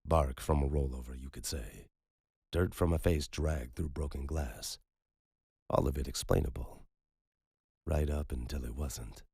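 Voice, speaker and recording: A man reading scripted dramatic fiction as an audiobook narrator, in a husky voice. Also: very deep voice, unhurried, pauses often, warm tone, no echo, studio quality.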